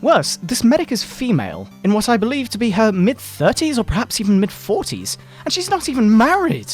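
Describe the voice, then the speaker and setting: Man acting as outraged fundamentalist preacher, sanctimonious, pompous, highish animated voice, theatrical drawn-out emphasis, comic sermon, music behind. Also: husky, heavily acted. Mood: pompous outrage. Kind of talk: mock-dramatic reading of a moral-panic sermon. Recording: background video game music.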